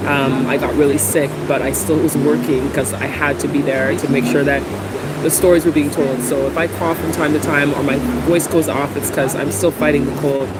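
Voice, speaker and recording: A woman's voice: sits low, steady tone, normal speed. A woman talking casually to camera as a vlogger. She has a cold and fills her speech with ums and restarts. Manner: chatty, many ums and restarts. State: has a cold, occasional cough. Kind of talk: casual vlog talk to camera. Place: Ghana, with outdoor and traffic noise. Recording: loud background noise.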